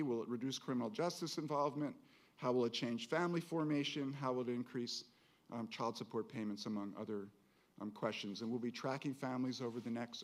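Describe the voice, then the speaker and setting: Man, policy panelist, careful and halting delivery, conference setting. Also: medium pitch. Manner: measured, hesitant with fillers. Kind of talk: policy forum discussion. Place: conference hall.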